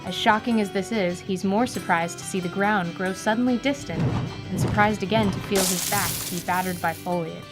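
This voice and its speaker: A woman giving vivid, deliberate scripted narration for a fantasy radio drama. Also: mid-range voice. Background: music.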